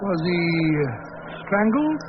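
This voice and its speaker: An actor playing a blustery, bumbling English doctor, a detective's loyal sidekick, in a 1940s radio drama. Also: male voice, sits high, sing-song, takes it slowly, full character voice. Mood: jovial. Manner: bumbling, exclamatory, hesitant. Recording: dull, noisy old radio recording.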